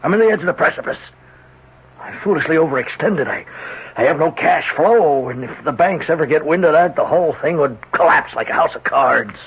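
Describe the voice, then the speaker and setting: An actor playing a desperate middle-aged financier on the brink of ruin, agitated and pleading, in 1970s radio drama style, on a dull vintage broadcast recording. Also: male voice, a little high, animated, fairly quick, slightly rough, heavily acted. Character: desperate older male financier, overextended and pleading.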